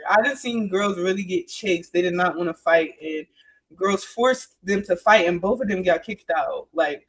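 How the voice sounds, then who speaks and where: A woman's voice: mid-range voice, fairly bright tone, breathy edge, rough recording. A woman in her twenties telling stories casually and candidly as an interview guest, emphatic and slangy, repeating phrases for emphasis. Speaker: interview guest, trade program graduate.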